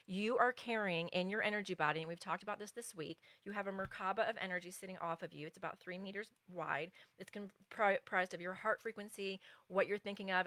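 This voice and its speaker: Bright-voiced woman coach, earnest and meandering. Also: fairly low pitch, dry recording. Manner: earnest, meandering, pauses to answer chat. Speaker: spiritual relationship coach. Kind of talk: live-stream teaching with viewer comments.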